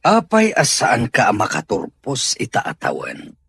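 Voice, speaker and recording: A man's voice: mid-range voice, wildly swooping pitch, unhurried, creaky, fairly bright tone, slightly rough, dry recording, exaggerated voice. A man with an Ilocano accent playing a stern, worried middle-aged father in an audio drama.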